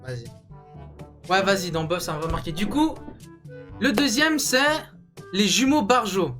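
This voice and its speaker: A man's voice: sits high, measured pace. Young guy joking around youtube style, slangy, casual, music playing behind him. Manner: slangy, joking, animated storytelling. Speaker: YouTube host. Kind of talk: casual banter, ranking cartoons.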